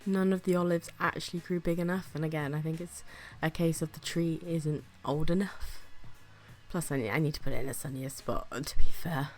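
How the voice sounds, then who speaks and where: A woman talks through her gardening vlog in a low, flu-roughened voice, her breathing audible. She keeps it chatty and self-deprecating, with the odd whispered aside. Faint music plays under her narration. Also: steady tone, brisk. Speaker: gardening vlogger.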